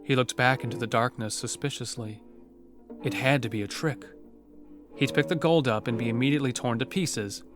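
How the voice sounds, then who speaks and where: A man narrates a horror tale in a scripted, dramatic style. His voice is of average depth. Music plays clearly behind him. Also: mid-range voice, moderate pace. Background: prominent music.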